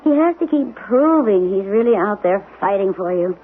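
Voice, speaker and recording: An actress playing a sharp, teasing wife who keeps a close, quick eye on her husband's moods. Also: mid-range voice, animated, natural voice. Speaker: supporting lead. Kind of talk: 1970s radio drama acting. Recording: tinny lo-fi old radio broadcast with faint hiss.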